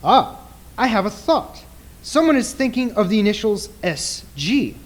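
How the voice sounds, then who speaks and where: A man's voice: high voice, sing-song, exaggerated voice. Man playing a dramatic, wide-swinging stage mind reader. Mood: showy. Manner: theatrical, deliberate, insistent. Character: grave, commanding male stage mind reader. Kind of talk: radio play acting.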